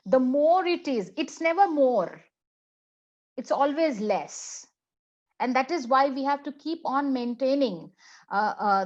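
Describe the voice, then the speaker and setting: Indian woman, English professor, conference talk, thoughtful and halting, muffled call audio. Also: a little high, darkish tone. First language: Hindi. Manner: reflective, halting, many fillers and restarts. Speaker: plenary speaker, English professor. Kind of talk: conference talk answering a question.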